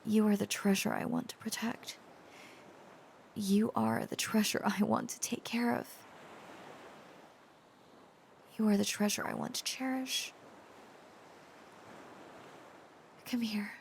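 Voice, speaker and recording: A woman playing a shy, protective dragon-descended lover in an intimate romantic audio roleplay, speaking haltingly and earnestly, often dropping toward a breathy whisper. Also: mid-range voice, sing-song, slight background noise. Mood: adoring, tender. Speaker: voice actor.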